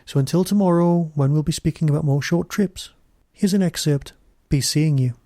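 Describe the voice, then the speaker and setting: A man's voice: fairly high pitch, normal speed, noisy background. A man hosting a podcast, giving a semi-scripted review straight to the listener.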